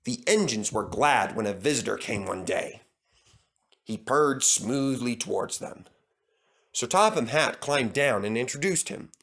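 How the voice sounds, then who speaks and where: A man's voice: mid-range voice, expressive, measured pace, a bit bright. A man reading a children's storybook aloud as narrator.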